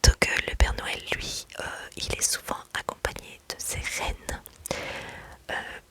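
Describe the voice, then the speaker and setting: A woman, a mother, whispering softly and hesitantly in a relaxed ASMR chat, pausing often on fillers. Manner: soft, hesitant, many fillers. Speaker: video creator.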